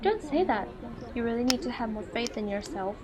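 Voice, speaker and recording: A woman voicing an outgoing, earnest young female literature student in a visual novel, lively and pushy. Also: a little high, a little fast, crowd noise, close to an everyday voice. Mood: encouraging. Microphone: earphone mic. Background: game music.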